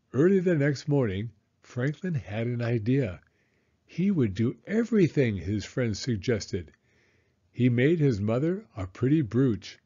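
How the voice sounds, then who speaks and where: A man narrating a children's storybook aloud in an expressive, measured storytelling manner. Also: medium pitch, husky, dull audio.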